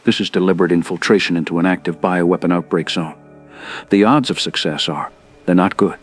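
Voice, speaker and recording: An actor playing a grim informant in an audio drama, speaking in grave, measured and emphatic warnings. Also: male voice, sits low, steady tone, slightly rough, faint music behind. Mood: urgent warning. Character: grim male informant warning of bioweapon outbreak.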